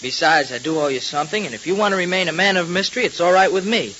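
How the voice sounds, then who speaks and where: Brave earnest boy, fairly high, bright forceful delivery, old radio serial, dull hissy sound. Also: fast. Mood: firm, earnest. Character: earnest, law-abiding brave boy sidekick. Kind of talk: 1930s radio acting. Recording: hissy, muffled 1930s radio transcription.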